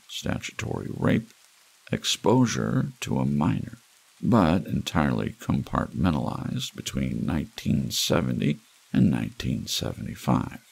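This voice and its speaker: A man with an extremely low voice full of heavy fry reads noir fiction aloud in an ASMR style. His delivery is slow, deliberate and dramatic, with pauses between phrases. Rain sounds play behind him. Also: poor audio. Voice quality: gravelly, husky. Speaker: audiobook narrator. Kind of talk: scripted second-person noir fiction narration, read as ASMR. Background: rain and wind ambience.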